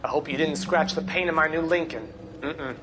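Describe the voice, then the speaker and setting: A man's voice: a little high, fairly quick, noisy background, lightly acted. An actor playing a cocky, menacing 1940s mob boss in a video game cutscene, with needling, slangy tough-guy talk. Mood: indignant, mocking.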